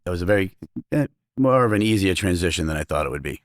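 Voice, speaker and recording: A middle-aged man with a Boston accent and a low voice talks fast, filling his sentences with "you know" and "uh" as an interview guest. The recording is dry and close. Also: expressive, no room sound. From Massachusetts, south of Boston. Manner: fast-talking, lots of fillers. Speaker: restaurant owner, guest. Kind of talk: casual interview chat.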